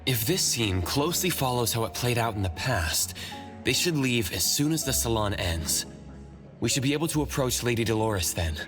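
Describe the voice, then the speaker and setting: Casting: male actor as calm, practical young male sidekick for a game, average pitch, sensible delivery, music behind. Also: moderate pace, natural voice. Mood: calm, reasoning. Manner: reasoned, matter-of-fact. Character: calm, level-headed young male companion. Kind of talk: video game voice acting.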